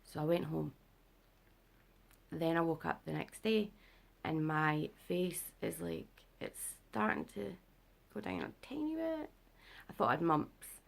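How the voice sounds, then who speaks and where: A young British woman talks to camera in a low, deep register, her voice heavily gravelly and rough from illness. She rambles through a casual update full of fillers, sounding worn out and short on sleep. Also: animated, a little slow. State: unwell and sleep-deprived. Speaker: vlogger. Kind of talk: casual health update vlog.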